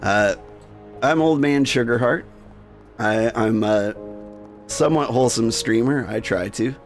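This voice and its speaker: A man hosting a game livestream, switching between jokey, rambling chat and reading game text aloud in a gravelly voice. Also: mid-range voice, unhurried. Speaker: game streamer and host. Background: music.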